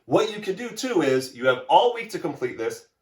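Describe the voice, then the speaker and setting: Male primary teacher for kids' read-aloud and lesson: lively intonation, encouraging, instructive, roomy recording. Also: high-pitched, moderate pace. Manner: instructive, encouraging, addresses children directly. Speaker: elementary school teacher. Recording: roomy, echoey indoor sound.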